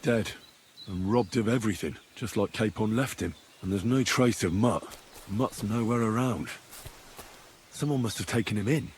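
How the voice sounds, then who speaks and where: An actor playing a young, earthy blacksmith's lad turned adventurer, plain-spoken and questioning, in video game dialogue. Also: male voice, lowish voice, moderate pace, a little mellow, slight background noise, lightly acted. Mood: worried, searching.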